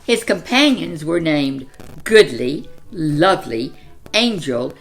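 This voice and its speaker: Lady reading a kids book, slow n super animated, kinda low voice, dramatic pauses. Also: faint music behind. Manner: deliberate, dramatic phrasing. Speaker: children's storyteller. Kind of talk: expressive picture-book read-aloud.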